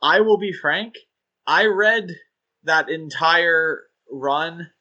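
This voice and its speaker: Podcast co-host, male, bright and a bit high, easygoing and enthusiastic, lots of fillers. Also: very slow, lo-fi sound. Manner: enthusiastic, rambling with fillers and laughs. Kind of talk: casual chat.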